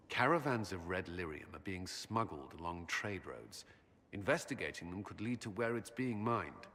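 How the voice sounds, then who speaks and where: An actor playing a grave, duty-bound military commander in a video game, earnest, measured and formal. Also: male voice, on the low side, bright, forceful tone. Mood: grave briefing. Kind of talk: dramatic video game cutscene acting. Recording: hall reverb with background noise.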